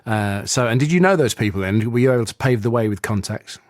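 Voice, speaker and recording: A man's voice: mid-range voice, animated, fast, no room sound, clean studio sound. A man hosting an interview on the radio, chatty and asking questions with plenty of tag phrases. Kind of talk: interview host.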